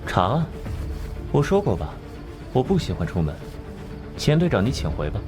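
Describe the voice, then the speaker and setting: An actor playing a cold, sharp-tongued forensic genius in an animated drama, disdainful and easily irritated. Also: male voice, medium pitch, normal speed. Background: music.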